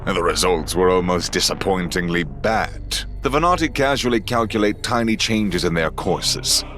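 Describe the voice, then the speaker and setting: A man with a very deep voice narrates a sci-fi audio drama with a dramatic, ominous delivery. Clear music plays beneath him. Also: moderate pace, fairly bright tone.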